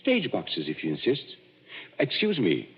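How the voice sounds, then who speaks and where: An actor playing a suave stage magician who lays out clues in a smooth, measured, knowing way in an old radio drama. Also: male voice, on the low side, wildly swooping pitch, full character voice. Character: suave middle-aged male stage magician, sleuthing. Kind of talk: 1940s radio acting. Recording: dull old radio broadcast, reverberant.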